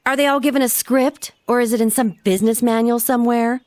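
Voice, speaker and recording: A pampered, cute teenage girl played by an actress in a video game, with a high voice and a dry, close sound. She talks bluntly and coquettishly, demanding and playful. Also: fairly even intonation, brisk, darkish tone, no room sound, close to an everyday voice. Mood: exasperated. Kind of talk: video game dialogue acting.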